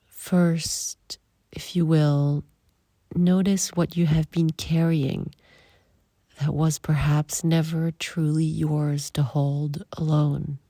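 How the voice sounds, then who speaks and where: A woman leading a guided meditation in soft, breathy, short phrases with long pauses, often trailing toward a whisper. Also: sits low, level tone, no room sound.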